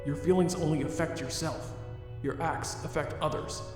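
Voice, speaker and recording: A man playing a remorseful, self-loathing monster scientist in a comic dub, speaking in a formal, deliberate way. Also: fairly high pitch, fairly even intonation, lightly acted. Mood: regretful. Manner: formal, deliberate, grave wording. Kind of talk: dramatic fan comic dub. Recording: very echoey long reverb under music.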